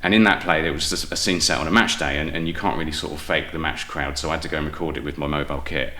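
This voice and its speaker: Deep, bright-voiced British man telling stories. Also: fairly even intonation, rapid-fire. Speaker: guest playwright and audio drama maker. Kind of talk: casual enthusiastic interview storytelling.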